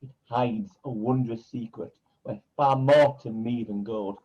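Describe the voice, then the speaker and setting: An actor playing a scheming pantomime villain who poses as a kindly uncle, speaking in theatrical, wheedling rhyme. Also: male voice, mid-range voice, fairly even intonation, a little slow, a little mellow, slightly rough, lo-fi sound. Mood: coaxing. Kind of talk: rhyming pantomime acting.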